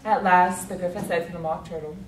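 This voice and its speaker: A woman's voice: on the low side, steady tone, brisk. A woman narrating a readers' theatre story in a dramatic, scripted storytelling style. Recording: echoey distant recording, faint noise.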